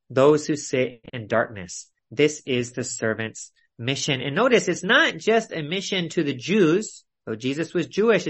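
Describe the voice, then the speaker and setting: A man delivering an expository Bible study sermon as a preacher, speaking deliberately in short, emphatic phrases. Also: a little high, a bit bright. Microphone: video call.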